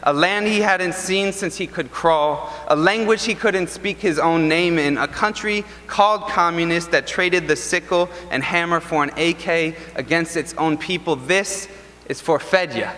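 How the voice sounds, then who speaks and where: A young man performing spoken word poetry at an event, with a rhythmic, deliberate and impassioned delivery in a large ballroom. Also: fairly high pitch, a bit bright. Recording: long reverb from a large ballroom.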